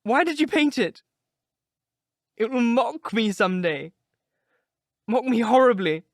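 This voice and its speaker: A man reading aloud, voicing a vain, beautiful young man who fears growing old, in an impassioned, high, cracking delivery. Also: unhurried, dry recording, studio quality. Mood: anguished. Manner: impassioned, rising to anguished cries. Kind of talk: reading of a classic novel aloud.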